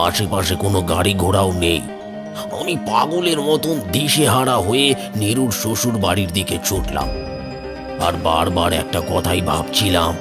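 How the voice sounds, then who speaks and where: A man narrating a first-person horror story, somber and measured. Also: low voice. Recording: background music.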